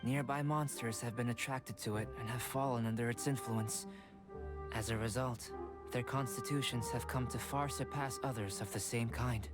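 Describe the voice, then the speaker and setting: A man voicing a calm young male alchemist in a game cutscene, who speaks in a precise, formal and measured way. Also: mid-range voice, close to an everyday voice. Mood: analytical. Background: game music.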